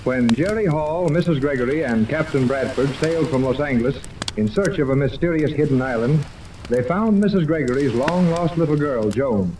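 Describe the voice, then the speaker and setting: A man's voice: medium pitch, expressive, normal speed, close to an everyday voice. Echoey old-time radio announcer, a man. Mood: dramatic, solemn. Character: dramatic male serial announcer recapping the adventure. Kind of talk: 1930s radio scripted narration. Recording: echoey, distant, noisy old 1930s radio.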